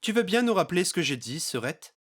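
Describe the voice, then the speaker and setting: A man's voice: high voice, big pitch swings, fast, a bit bright, full character voice. A man playing a cocky, scheming young gamer brother in an anime fan dub, theatrical and given to melodrama. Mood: smug, scheming. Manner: theatrical, swinging from smug to frantic. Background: background music.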